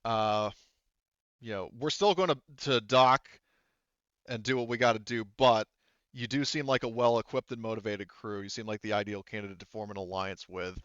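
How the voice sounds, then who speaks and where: Man voicing a composed, low-voiced female pirate captain. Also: fairly even intonation, normal speed, a bit bright, clear, resonant tone, dry recording, muffled recording, lightly acted. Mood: composed, bargaining.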